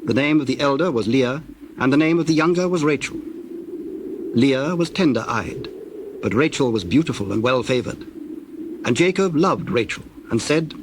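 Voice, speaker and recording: Guy narrating bible story, formal measured read, medium pitch, doves and birds clearly behind. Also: animated, noisy background. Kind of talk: scripted scripture narration.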